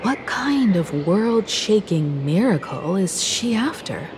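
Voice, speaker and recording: An actress playing a composed, caring and analytical young woman in a video game, speaking in a measured, earnest, articulate way. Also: medium pitch, big pitch swings, noisy background. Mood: serious, explanatory. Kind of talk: video game voice acting, expository dialogue. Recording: studio voice over game music.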